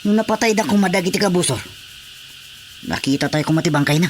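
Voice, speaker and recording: A man's voice: high voice, fast talker, a little hiss or hum, natural voice. A man with an Ilocano accent playing a worried army corporal in a radio drama. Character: worried army corporal reporting to his lieutenant.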